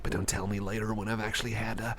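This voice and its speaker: A man's voice: lowish voice, level tone, quick pace, fairly bright tone, a little hiss or hum, natural voice. A man playing a goofy, anxious, sleep-deprived friend in an ASMR roleplay, rambling and repeating himself in a nervous, stammering way, often slipping into whispers. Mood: affectionate, hushed. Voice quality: often drops into breathy whispers. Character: jittery, over-caffeinated, sleep-deprived, goofy and anxious male friend in a library.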